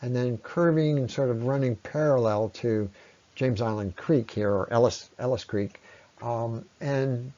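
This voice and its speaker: A man presents informally over slides in a medium-pitched voice. He explains things step by step, pausing haltingly as he goes. The voice-over recording is slightly dull and lo-fi. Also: warm tone. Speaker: presenter on local ecology.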